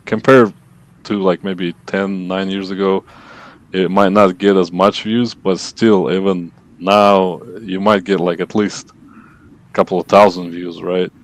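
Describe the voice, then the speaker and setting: A man's voice: low-pitched, little pitch movement, unhurried, poor audio. A man hosting a podcast, chatting loosely with lots of fillers and asking questions. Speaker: podcast host. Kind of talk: casual interview chat.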